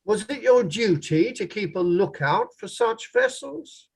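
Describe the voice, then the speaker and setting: An actor playing a formal inquiry lawyer in a courtroom drama table read, putting measured, pressing questions to a witness. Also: mid-range voice, lively intonation, husky. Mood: formal, probing.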